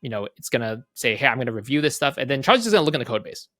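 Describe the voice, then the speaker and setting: A man casually walking through a software demo off the cuff, conversational, often checking in with tag questions and voicing little exchanges. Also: medium pitch, sing-song, very fast, dry recording, clean studio sound. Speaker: guest product leader giving a software demo. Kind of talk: casual off-the-cuff product walkthrough.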